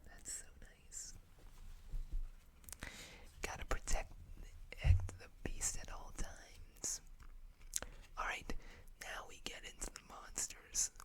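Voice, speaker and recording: An ASMR host speaking in a breathy, unvoiced whisper close to the microphone, unhurriedly reading text aloud with casual asides. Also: slow, long pauses.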